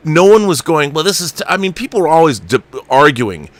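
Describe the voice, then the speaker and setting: A man in his fifties chatting casually about films as a show host, excitable and emphatic, with some swearing. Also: on the high side, a little fast, over a noisy crowd. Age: 50s. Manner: profane. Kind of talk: casual film chat.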